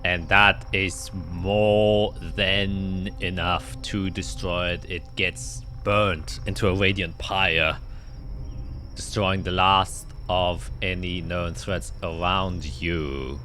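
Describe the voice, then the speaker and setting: A man narrating a tabletop fantasy game as game master, in a halting, descriptive storytelling manner. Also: sits low, fairly even intonation. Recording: music playing behind the voice. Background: loud background music.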